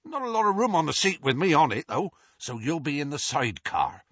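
A giant of a man, gentle and homely, talking in rustic, folksy speech, played by a male reader. The voice swings lively and forceful with a husky edge, recorded dry. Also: fairly high pitch, a little fast, dry recording, dull audio, voice pushed up, full character voice. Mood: eager homely.

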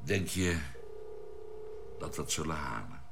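A measured scientist aboard a spaceship in a radio play. His voice is low and moves expressively as he explains with care. There is clear noise on the old recording. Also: expressive, heavily acted. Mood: concerned. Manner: deliberate, explanatory. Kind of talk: Dutch science-fiction radio drama acting.

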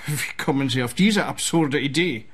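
An actor playing a smug, shady lawyer in a radio play, speaking in a pompous, self-satisfied way. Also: male voice, medium pitch, normal speed, a bit bright, husky.

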